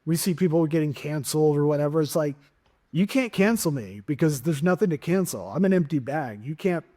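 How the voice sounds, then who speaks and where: A middle-aged man hosts a casual podcast conversation, his voice sitting a little high for a man. He rambles through stories and jokes, restarting his sentences often. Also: expressive, brisk, warm tone. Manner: rambling, joking, lots of restarts. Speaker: podcast host and stand-up comic. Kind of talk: casual interview chat.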